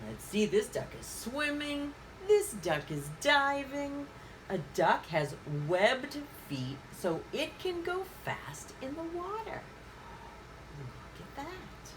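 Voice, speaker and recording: Animated woman reading to young children. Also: fairly high pitch, slight background noise. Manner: sing-song, asks questions and pauses as if for answers. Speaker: children's story time host. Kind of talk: interactive picture-book reading for young children.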